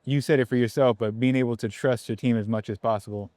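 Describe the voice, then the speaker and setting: Male interviewer for a podcast, average pitch, casual conversational delivery, dry recording. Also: steady tone, fast talker, dark tone, dry recording.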